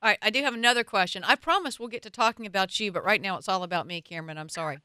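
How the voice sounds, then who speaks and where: A woman's voice: mid-range voice, very fast, sharp tone, clean tone, dry recording, studio quality. A woman hosting a radio talk show, asking questions in a playful, self-deprecating way. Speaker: radio host. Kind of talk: casual radio interview.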